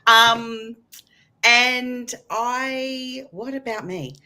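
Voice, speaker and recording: Bright-voiced woman, chatty panelist. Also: fairly high pitch, drawn-out words. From Australia. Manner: chatty, a bit flustered. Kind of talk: casual panel discussion.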